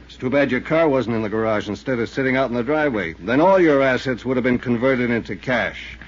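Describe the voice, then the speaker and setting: An actor playing a sardonic, needling small-town newspaper reporter in 1950s radio drama style. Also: male voice, mid-range voice, animated, slightly rough. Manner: sardonic and needling, quick to jab. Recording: muffled old radio recording.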